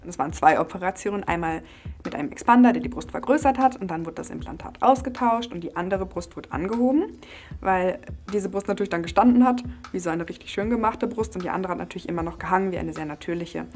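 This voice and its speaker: A woman's voice: fairly low pitch, fast, a little mellow, faint music behind. A woman of about twenty from western Germany, candidly telling her personal story to camera. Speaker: interviewee. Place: indoor studio set, seated.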